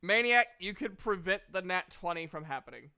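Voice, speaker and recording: A man's voice: sits high, lively intonation, moderate pace, bright, forceful tone, clean tone. A man casually narrating a tabletop game as game master, swearing as he reacts.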